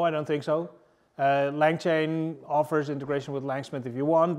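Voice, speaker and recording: Casting: presenter in his thirties, slightly raised voice, halting delivery full of fillers, audience room. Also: on the high side, darkish tone. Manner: halting, many fillers and restarts. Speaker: engineering manager presenting. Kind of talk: casual tech meetup talk. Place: large room with a seated audience, indoors.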